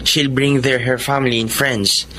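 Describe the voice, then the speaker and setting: An actor playing a possessive young suitor in a Filipino radio drama, casual and slipping between languages. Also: male voice, mid-range voice, level tone, unhurried, pushed and bright, slight background noise, poor audio. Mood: jealous. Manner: casual, mixing English phrases. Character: possessive young suitor, restaurant owner's son. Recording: music behind the voice.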